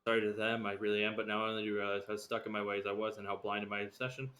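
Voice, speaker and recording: A man's voice: fairly low pitch, little pitch movement, very fast, pushed and bright. A man streaming a video game, reading its dialogue and letters aloud. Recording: clear background noise on stream mic.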